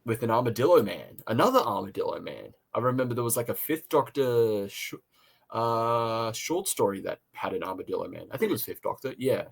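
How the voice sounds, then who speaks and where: A man from Australia co-hosting a casual review chat, talking in a halting way and often repeating words. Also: mid-range voice, sing-song, creaky, husky. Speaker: podcast co-host and reviewer.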